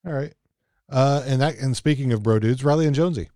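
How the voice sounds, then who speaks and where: A man's voice: medium pitch, fast, mellow. Fifties male host, laid-back, keeps the conversation moving. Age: 50s. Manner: easygoing, steers the talk, laughs often. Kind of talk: casual chat about a TV show.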